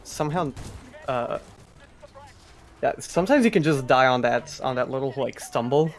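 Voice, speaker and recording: A man's voice: medium pitch, expressive, normal speed. A man giving casual live commentary as he explains a video game speedrun.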